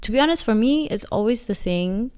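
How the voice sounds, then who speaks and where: A woman's voice: a little high, animated, brisk, a little mellow, clear, resonant tone. A woman in her twenties talking casually in an interview, reflective and full of fillers as she searches for words. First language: not English. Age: 20s. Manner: reflective, many fillers and restarts. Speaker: dancer and guest.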